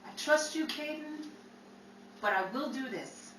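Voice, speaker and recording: A woman's voice: high voice, expressive, a little slow, a bit bright. A woman acting a tough, ambitious young Chicago showgirl, defiant and dramatic, in the manner of 1930s radio drama. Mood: driven, impatient. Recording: roomy, distant mic with faint noise.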